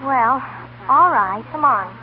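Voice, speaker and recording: A nervous, obedient schoolgirl in vintage radio style. She speaks high and slowly, faltering as she goes, on a tinny, hissy recording. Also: expressive. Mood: cowed. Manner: hesitant, faltering. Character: timid, flustered schoolgirl pupil, obedient. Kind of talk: 1930s radio acting. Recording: tinny, hissy, echoing 1930s radio broadcast.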